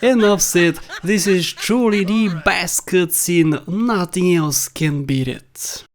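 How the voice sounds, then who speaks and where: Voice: mid-range voice, slow. Jokey countdown video narrator. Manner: jokey, wry asides. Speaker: video host. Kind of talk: scripted countdown commentary over game clips.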